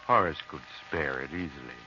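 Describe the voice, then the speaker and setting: An ominous horror storyteller from old-time radio, extremely deep and measured. The delivery is theatrical, on a dull recording with heavy noise. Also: male voice. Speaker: narrator. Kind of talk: 1940s radio horror narration.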